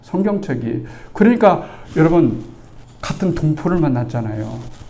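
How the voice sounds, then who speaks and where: A gravelly, mellow-voiced man in his sixties preaches as a pastor. He tells a personal story in a chatty way, acting out the dialogue and turning to the congregation for agreement. His voice sits somewhat high for a man. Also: normal speed. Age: 60s. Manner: conversational, asks the congregation for agreement; animated storytelling, acts out the dialogue. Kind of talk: storytelling sermon.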